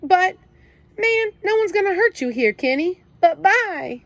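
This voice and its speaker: A woman's voice: very high voice, lively intonation, measured pace, a bit bright, no echo, voice pushed up, exaggerated voice. A woman voicing a tough teenage big brother who talks in blunt slang, scolding and protective at once. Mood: gruff comforting. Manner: streetwise slang, scolding then comforting.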